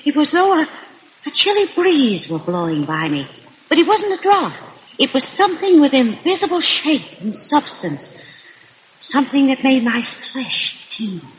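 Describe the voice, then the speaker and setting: An actress playing a nervous, halting young American newlywed wife in an old-time radio horror melodrama. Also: female voice, high voice, sing-song, a little hiss or hum. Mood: frightened, intense. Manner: halting, anxious, stammering. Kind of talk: 1930s radio melodrama acting. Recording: muffled, reverberant old radio recording.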